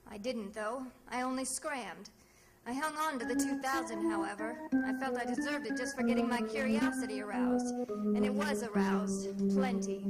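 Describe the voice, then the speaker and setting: An actress playing a tough, wisecracking female private detective in an old-time radio drama, brisk and sardonic. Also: fairly high pitch, natural voice. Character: wry, tough female private detective narrating her case. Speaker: radio drama lead and narrator.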